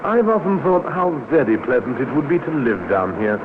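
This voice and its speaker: An actor playing a suave, smug murderer in a radio drama, casual and blandly courteous. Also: male voice, medium pitch, sing-song, moderate pace, close to an everyday voice. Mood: smooth, amused. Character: suave, smug, ever-smiling male murderer. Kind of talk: 1950s radio drama acting. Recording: narrow, clearly noisy vintage radio recording.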